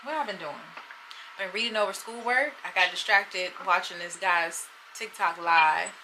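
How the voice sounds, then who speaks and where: A woman's voice: medium pitch, moderate pace, noisy background. A woman in her thirties chatting candidly to the camera in a casual vlog, often laughing. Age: 30s. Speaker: vlogger.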